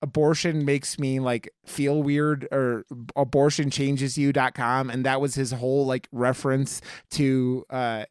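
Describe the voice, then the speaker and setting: A man's voice: medium pitch, little pitch movement, measured pace, hardly any pauses, smooth. A man hosting a casual podcast, chatting about films in a gushing, enthusiastic way with plenty of swearing. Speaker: podcast host. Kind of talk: casual, profane film review chat.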